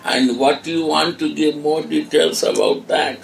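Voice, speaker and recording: A man playing a tearful, grieving mother of a divine child, pleading and reproachful in halting English. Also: on the high side, gravelly. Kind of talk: devotional dramatic monologue. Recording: echoey room, distant mic, background noise.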